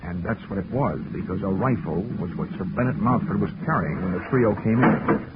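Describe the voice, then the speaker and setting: A man's voice: low-pitched, brisk, heavy background noise, lightly acted. A man playing a wry, hard-boiled private investigator in sardonic 1940s radio style. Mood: dry narration. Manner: sardonic, wisecracking. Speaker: narrating lead of a detective radio serial. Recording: tinny, band-limited 1940s radio recording with music.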